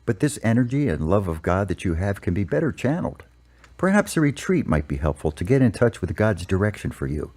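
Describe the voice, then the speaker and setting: A man playing a patient priest giving measured, explanatory counsel in an amateur audio drama. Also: on the low side, big pitch swings, a little mellow.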